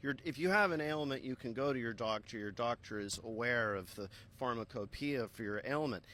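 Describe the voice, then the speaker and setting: A man answering interview questions in a forceful, insistent way, pressing each point hard. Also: mid-range voice, fairly quick, slight background noise. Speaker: interview guest.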